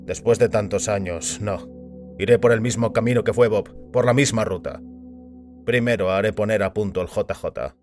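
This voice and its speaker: A man voicing a kindly but firm, grieving middle-aged father in an audiobook, measured and tense. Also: lowish voice, a little mellow, soft background music, close to an everyday voice. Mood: firm, resolute. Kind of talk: audiobook character voice.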